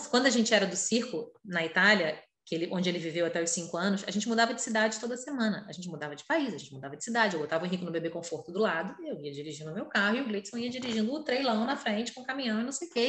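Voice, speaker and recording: A woman's voice: on the high side, a bit bright, echoey room. A middle-aged Brazilian woman telling personal stories openly and expressively in a casual conversation. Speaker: interview guest.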